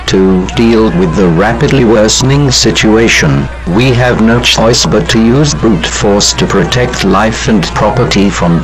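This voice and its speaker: A man's voice: lowish voice, rough recording. A synthetic man's voice playing a stern official reading a formal, stilted emergency announcement. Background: music.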